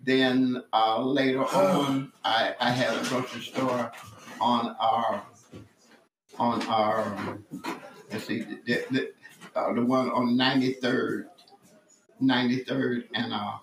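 A man in his late seventies recalling his past in a casual family interview, halting and searching for details. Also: medium pitch, level tone. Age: 70s. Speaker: interviewed relative. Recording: roomy, faint background noise.

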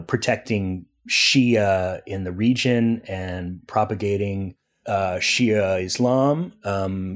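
A man speaking as a foreign news editor and interview guest, giving a long analytical answer with frequent fillers and restarts. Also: fairly low pitch. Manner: discursive, many restarts and fillers.

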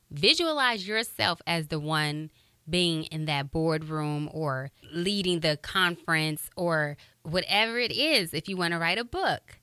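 A woman radio broadcaster hosting a motivational podcast, with a high voice and an upbeat, encouraging way of talking. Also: bright, forceful tone, smooth, no room sound, clean studio sound.

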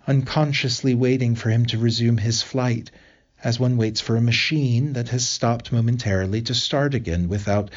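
A man reading a literary essay aloud in a measured, deliberate way, pausing between phrases. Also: medium pitch, a little mellow, muffled recording.

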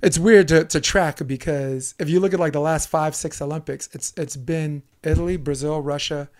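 A young adult man hosting a casual podcast, joking and rambling with quick asides. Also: a little high, fairly even intonation, darkish tone. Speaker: podcast host.

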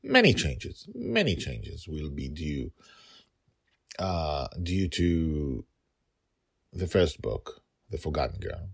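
Male podcast host and writer: very low, creaky voice, informal, emphatic, thinking out loud. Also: wildly swooping pitch, slow pace, long pauses, no room sound, studio quality. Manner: rambling, emphatic, thinking aloud.

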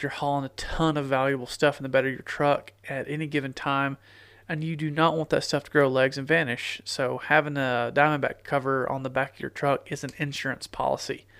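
A man's voice: medium pitch, a bit bright, clear, resonant tone, no room sound. A man hosting his own podcast, talking casually with a stuffy, congested nose from a cold, rambling with fillers and restarts. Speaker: podcast host. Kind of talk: casual solo podcast talk. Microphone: studio podcast microphone.

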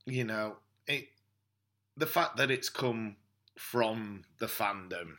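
A man's voice: fairly low pitch, slow pace, bright, forceful tone. A man chatting casually as a guest on a podcast. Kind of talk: casual chat.

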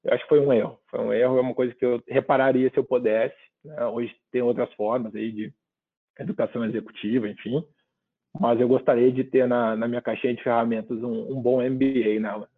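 A man's voice: mid-range voice, little pitch movement, brisk, husky. A man from southern Brazil, giving reflective interview answers and often ending with tag questions. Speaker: guest. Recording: phone-like narrow line.

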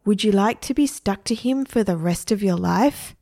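A woman's voice: medium pitch, normal speed, gravelly, dark tone. A woman voicing a bossy, impatient young male prankster in a read-aloud story.

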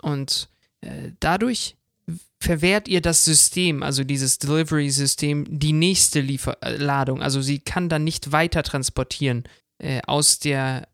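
Higher-pitched man with a creaky voice. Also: measured pace, fairly bright tone, no room sound, clean studio sound. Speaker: podcast co-host. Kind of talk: casual chat.

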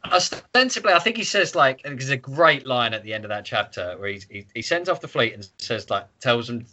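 Male podcast host, low-pitched and not polished: bright, forceful delivery, irreverent and sweary, casual book chat, slightly lo-fi sound. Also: lively intonation, brisk.

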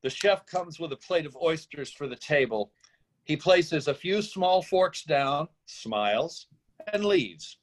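A man's voice: fairly high pitch, animated, takes it slowly. A man reading the stage directions of a screenplay as scripted narration.

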